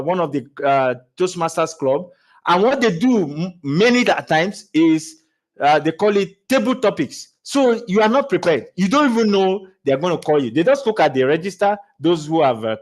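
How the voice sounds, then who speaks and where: Male speaking coach, fairly high voice, lively motivational delivery, frequent stammered restarts. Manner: animated, with many restarts and stammered repeats; laughs while talking. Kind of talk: motivational talk.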